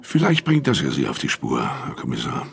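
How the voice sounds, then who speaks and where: Very deep, gravelly underworld man. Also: huge pitch swings, brisk, exaggerated voice. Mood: sly, mocking. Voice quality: gravelly, husky. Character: suave, sly, unflappable underworld man. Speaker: actor in a radio play. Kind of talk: German radio drama acting.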